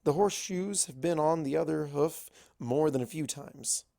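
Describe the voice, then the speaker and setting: A man reading fan fiction aloud as expressive first-person narration. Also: a little high, normal speed, vocal fry.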